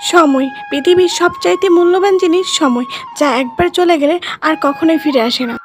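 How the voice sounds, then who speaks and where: A woman voicing a wise, caring little village girl in a cartoon folk tale, gentle and earnest. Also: extremely high pitch, brisk, faint music behind. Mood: earnest, sure.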